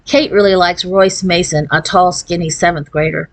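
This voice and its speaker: A woman's voice: on the low side, fairly even intonation, normal speed, no room sound, close to an everyday voice. A woman voicing a pushy, self-assured fifth-grade girl in a children's storybook. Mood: teasing, scolding. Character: Stephanie, bossy, confident, boy-crazy fifth-grade girl.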